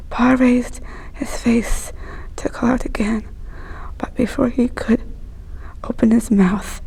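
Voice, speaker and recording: A woman reading a children's story aloud in a hushed, breathy, whispery storytelling voice. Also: a little high, poor audio.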